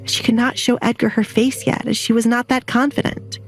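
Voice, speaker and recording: A woman's voice: a little high, flat delivery, a little fast, vocal fry, faint music behind, low-quality recording. A woman reading scripted narration for a romance audio drama.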